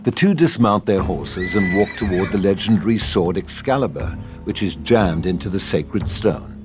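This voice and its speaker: A man's voice: deep voice, lively intonation, normal speed, over music. A man narrating a scripted drama, reading stage directions. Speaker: narrator of a script table read.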